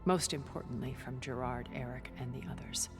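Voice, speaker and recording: A woman playing a secretive older strategist in a game cutscene, speaking in a measured, formal way. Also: rumbling low, fairly even intonation, music in the background. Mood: cool, measured. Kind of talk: video game cutscene acting.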